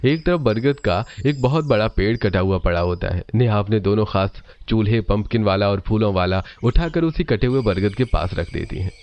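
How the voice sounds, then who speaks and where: Steady low male story narrator. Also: normal speed, few pauses.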